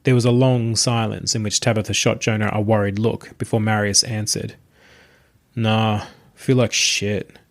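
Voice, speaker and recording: A man's voice: fairly low pitch, level tone, fairly quick, hardly any pauses. A man narrating a scripted short story as its reader.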